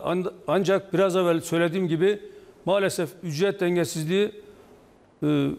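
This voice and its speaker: Union official, male, sixties, high-pitched, measured stop-start delivery, echoing hall-like sound. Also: a little mellow. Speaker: trade union official, interview guest. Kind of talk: live TV news interview, explaining. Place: indoor office or studio, video link to TV broadcast. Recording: long reverberant hall echo.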